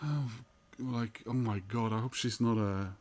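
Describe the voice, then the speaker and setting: A man's voice: mid-range voice, darkish tone. Rambling Norwegian man telling a story. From Norway. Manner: rambling, halting, many fillers and restarts. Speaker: vinyl collector vlogger. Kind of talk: casual storytelling monologue.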